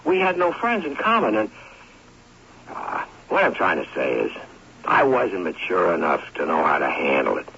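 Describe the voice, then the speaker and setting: An actor playing an earnest middle-aged former athlete in a radio drama, often stammering, on an old broadcast. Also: male voice, low voice, wildly swooping pitch, normal speed, gravelly, pushed and bright, breathy edge, highs cut off, full character voice. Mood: hesitant, sincere. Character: flustered, earnest former college football star, middle-aged.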